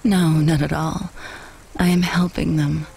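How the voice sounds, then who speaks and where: A woman playing a brisk, self-assured dominatrix in a video game, formal, clipped and commanding. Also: sits low, level tone, vocal fry, darkish tone, husky, full character voice.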